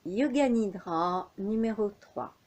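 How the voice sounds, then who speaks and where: A woman leading a guided yoga nidra relaxation as an instructor, speaking in measured, unhurried phrases with pauses between them. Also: medium pitch, a little mellow.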